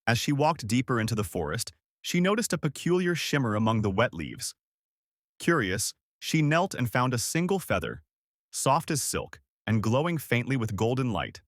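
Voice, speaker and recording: A man's voice: mid-range voice, very animated, moderate pace, dry recording, studio quality. A man narrating a scripted fairy tale for children as a storyteller.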